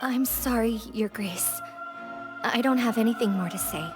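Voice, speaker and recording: A woman's voice: fairly high pitch, normal speed, music in the background, natural voice. An actress playing a frightened, earnest young woman in a video game, speaking in a hesitant, formal, pleading way. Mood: fearful, withdrawn.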